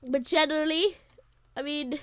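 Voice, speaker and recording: A woman's voice: high-pitched, moderate pace, dry recording. A Welsh teenage girl, whose first language is Welsh, chatting casually to her viewers as co-host, rambling and giggling between thoughts. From Wales. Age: teens. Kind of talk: casual chat.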